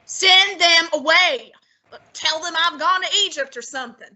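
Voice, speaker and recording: A woman's voice: very high voice, normal speed, sharp tone, exaggerated voice. A teenage girl playing a fat, lazy, stubborn male detective in a student radio drama, with a pompous, clipped manner. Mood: irritated. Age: teens.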